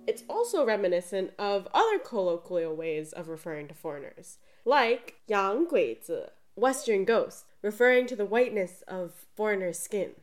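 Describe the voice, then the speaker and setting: A woman co-hosting a podcast, reading scripted explanations in a lively, explanatory way. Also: medium pitch. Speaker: podcast co-host.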